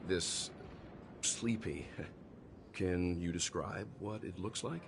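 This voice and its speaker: A man playing a thoughtful, courteous investigator in a video game, speaking in a measured, formal, reflective way. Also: sits low, creaky, noisy background, heavily acted. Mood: calm, inquisitive. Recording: music behind the voice.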